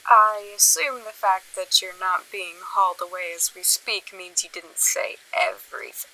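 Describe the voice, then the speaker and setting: Woman acting as bright-voiced, wry casual friend. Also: mid-range voice, steady tone, normal speed, natural voice, low-quality recording. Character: casual, quick-witted female friend on the radio. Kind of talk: audio drama acting.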